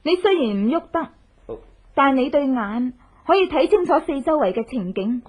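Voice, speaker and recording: An actress playing a cunning, vengeful young wife in a Cantonese radio horror drama, with a Hong Kong accent and a manner that moves between coaxing politeness and taunting menace. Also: female voice, high voice, lively intonation, normal speed. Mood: gloating, vengeful. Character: cunning, vengeful young wife who drugs her enemies.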